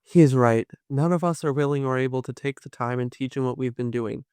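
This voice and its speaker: A man's voice: mid-range voice, brisk, darkish tone, clean tone, no echo, clean studio sound, natural voice. A man voicing a formal, matter-of-fact young male train worker in an audio drama. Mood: dismissive.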